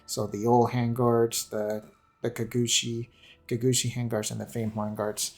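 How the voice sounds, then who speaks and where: A man's voice: mid-range voice, flat delivery, measured pace, darkish tone. A man giving casual, unscripted game commentary in a rambling, hesitant way, often repeating and correcting himself. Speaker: gaming channel host. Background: background music.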